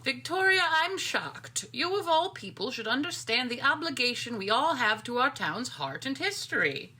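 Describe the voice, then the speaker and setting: A woman voicing a brisk, guilt-tripping town society organiser in a dramatic comic reading. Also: high voice, sing-song, sharp tone, breathy edge, heavily acted. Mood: shocked, reproving.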